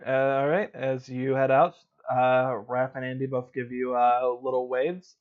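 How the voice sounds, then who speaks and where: A man narrating as game master in a tabletop roleplay, relaxed and full of fillers. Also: medium pitch, unhurried, clear, resonant tone, phone-quality sound.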